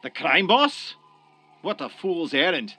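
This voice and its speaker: A man's voice: a little high, sing-song, slow pace, sharp tone, no room sound, full character voice. A man playing a wary, aggrieved Irish tenant in a radio drama, blunt and threatening in an Irish accent. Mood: threatening, suspicious.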